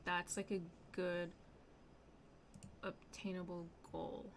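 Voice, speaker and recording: A young woman streaming, chatting casually in a scratchy, slightly hoarse voice with lots of fillers. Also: fairly low pitch, little pitch movement, slow. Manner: rambling, many fillers. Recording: music playing underneath.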